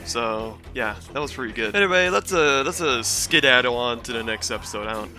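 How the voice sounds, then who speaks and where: A man's voice: medium pitch, lively intonation, brisk, sharp tone, faint music behind. A man hosting a casual commentary recap, talking in a rambling, hesitant and self-deprecating way. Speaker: video host and reviewer.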